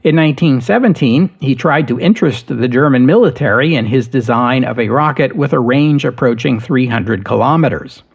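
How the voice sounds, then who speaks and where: A man narrating history as a podcast host, in a measured, steady storytelling delivery. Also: medium pitch, vocal fry, husky, lo-fi sound.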